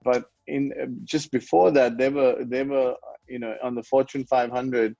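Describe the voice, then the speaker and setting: Gravelly man from Sri Lanka. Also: mid-range voice, steady tone, moderate pace, mellow, no room sound, highs cut off. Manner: rambling, self-correcting, chuckles often. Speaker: guest, wealth management founder. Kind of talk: conversational interview.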